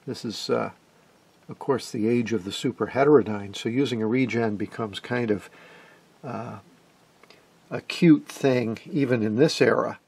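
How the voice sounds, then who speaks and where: A man giving an informal hands-on demonstration as a hobbyist, explaining things in a hesitant way with pauses mid-phrase. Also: medium pitch, creaky, darkish tone, slightly rough. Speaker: amateur radio hobbyist and presenter.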